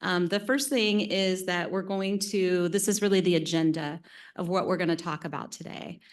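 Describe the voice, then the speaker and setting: A woman's voice: lowish voice, fairly even intonation, normal speed. A woman giving a friendly, chatty presentation at a board meeting, laughing easily. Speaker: presenter from claims team. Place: meeting room with conference mic.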